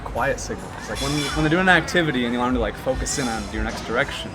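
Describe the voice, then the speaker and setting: A man's voice: medium pitch, racing. Friendly male interviewer over music. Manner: friendly, asks follow-up questions. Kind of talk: casual interview. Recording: background music throughout.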